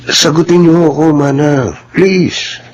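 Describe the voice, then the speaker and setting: Loyal male helper, respectful, earnest, breathy husky tone, Filipino radio drama. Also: on the high side, steady tone, slow, lo-fi sound. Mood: earnest, troubled. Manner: polite, earnest.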